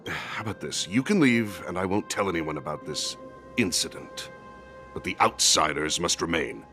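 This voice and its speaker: A stiff, dutiful military captain from a video game. His voice sits low but moves with animated swings and has a bright, forceful edge. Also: faint music behind, exaggerated voice. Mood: grave, conflicted. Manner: formal, stiff, halting when flustered. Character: dutiful male guard captain, flustered by old friend, stern with subordinates.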